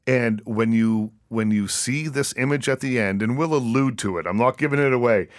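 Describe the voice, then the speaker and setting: A man's voice: mid-range voice, moderate pace, no room sound, studio quality. A man hosting an interview, chatty and easygoing, often restarting his sentences and laughing easily. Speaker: interview host. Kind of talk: casual interview.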